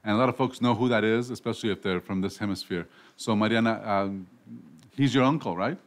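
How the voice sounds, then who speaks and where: A man's voice: fairly low pitch, fairly quick. A man hosting a casual radio interview show, rambling with frequent restarts and self-corrections. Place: radio studio.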